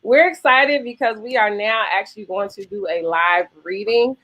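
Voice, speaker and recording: A woman hosting a live online book event in a casual, effusive and excited manner. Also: medium pitch, moderate pace, poor audio.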